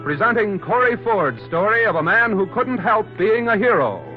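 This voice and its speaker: A man with a fairly high, very animated voice narrates as an old-time 1940s radio announcer. The recording is muffled and vintage, with music clearly behind him. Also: moderate pace.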